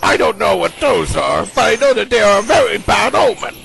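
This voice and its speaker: A man's voice: high-pitched, lively intonation, fairly quick, vocal fry, over a noisy crowd, full character voice. A man playing a blustering, scheming scientist villain in an amateur radio play, with a pompous, theatrical manner. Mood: grave, calculating.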